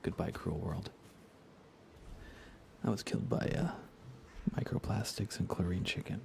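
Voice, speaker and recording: A man live streaming a game in a casual ASMR style, chatting softly with viewers in a hushed voice over background noise. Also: low voice, unhurried, creaky.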